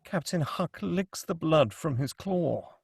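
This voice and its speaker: Male table-read narrator for screenplay directions: slightly high, fry in the voice, very dry recording. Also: animated, slow pace, no echo.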